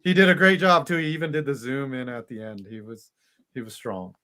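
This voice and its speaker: A man co-hosting a casual sports podcast, jokey and quick to chime in. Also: mid-range voice, expressive, sharp tone. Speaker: podcast co-host. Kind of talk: casual chat.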